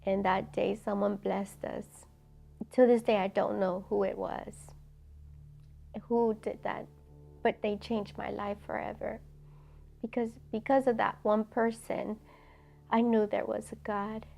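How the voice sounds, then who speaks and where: A middle-aged woman from El Salvador tells her personal testimony in a halting, reflective way with many pauses. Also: medium pitch, flat delivery, creaky, warm tone. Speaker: ministry founder.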